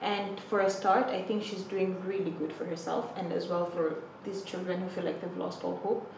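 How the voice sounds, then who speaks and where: A woman's voice: lowish voice, flat delivery, normal speed, creaky, far from the mic, heavy background noise. A woman on a student panel giving a thoughtful, supportive view. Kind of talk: discussion. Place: studio with panel on a couch. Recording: roomy studio sound from off-mic.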